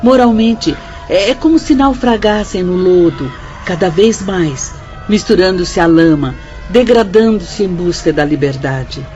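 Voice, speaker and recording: An actress playing a stern, sorrowful spirit instructor who preaches formally in a radio drama style, with a Brazilian accent. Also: female voice, on the low side, a little slow, dark tone, dull audio, faint music behind, close to an everyday voice. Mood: sorrowful, grave.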